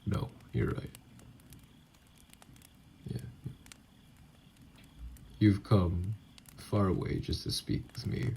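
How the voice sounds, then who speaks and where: A man voicing a wounded, weary, distrustful vampire in an ASMR roleplay, speaking hesitantly in formal, guarded wording with a gravelly, sometimes breathy voice. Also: steady tone, extremely slow, long pauses, warm tone, slight background noise, heavily acted. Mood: reluctant, weary. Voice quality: very deep, gravelly, breathy at times. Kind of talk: ASMR roleplay audio acting.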